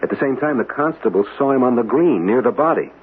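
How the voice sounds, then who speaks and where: An actor playing an earnest, courteous gentleman in a ghost mystery, measured and polite in a radio drama. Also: medium pitch, animated, lightly acted. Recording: narrow, noisy old radio broadcast.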